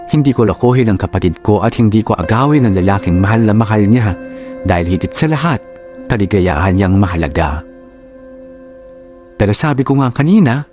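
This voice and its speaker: A man narrating a love letter for a radio drama in a measured, sentimental, reflective way, as the conflicted confessor. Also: on the low side, natural voice. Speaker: storyteller. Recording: clear music bed under narration.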